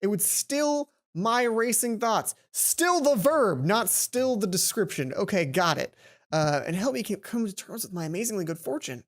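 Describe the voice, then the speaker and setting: A woman reading a visual novel game aloud as a let's play host, playful and expressive, often stumbling and rephrasing. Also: medium pitch, normal speed.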